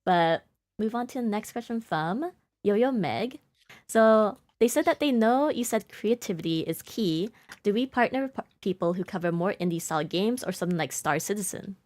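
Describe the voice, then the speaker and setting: A woman's voice: mid-range voice, animated, normal speed, a little mellow, dry recording. A woman co-hosting a livestream, reading viewer questions and announcements in an upbeat way. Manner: upbeat, laughs often, reads chat questions. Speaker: stream co-host.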